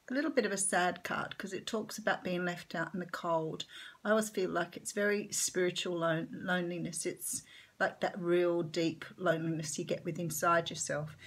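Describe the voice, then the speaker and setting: A woman with an Australian accent giving a chatty tarot reading, her voice a little croaky this morning, with lots of ums. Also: on the low side. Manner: chatty, warm-hearted, rambling with many ums. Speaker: tarot reader. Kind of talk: casual tarot reading vlog.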